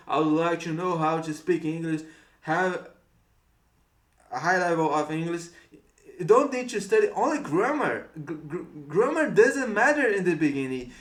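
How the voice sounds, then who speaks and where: High-voiced Brazilian man, earnest coaching. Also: reverberant. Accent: Brazilian Portuguese. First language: Portuguese. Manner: earnest, repetitive coaching phrases. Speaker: English tutor. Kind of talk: motivational talk to camera.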